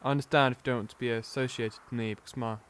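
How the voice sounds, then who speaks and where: A man playing an earnest, awkward young man in love in an audio drama, halting and apologetic. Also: medium pitch, steady tone, smooth, close to an everyday voice. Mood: nervous, tender.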